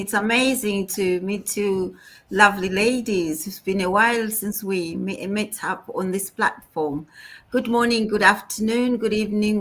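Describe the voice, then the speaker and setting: A woman from Zimbabwe politely introducing herself as a panelist on a video call. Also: mid-range voice. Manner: polite, warm greetings. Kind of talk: self-introduction.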